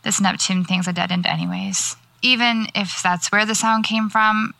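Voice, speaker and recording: A woman's voice: medium pitch, steady tone, normal speed, gravelly, pushed and bright, no room sound. An actress playing a dry, sardonic, preoccupied woman novelist in an audio drama. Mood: matter-of-fact. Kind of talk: naturalistic audio drama dialogue.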